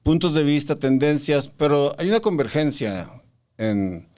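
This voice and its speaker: Male podcast host, smooth clear tone, conversational and probing. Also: a little high, big pitch swings, measured pace, a little mellow. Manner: probing, interrupts to challenge. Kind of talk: casual conversational interview.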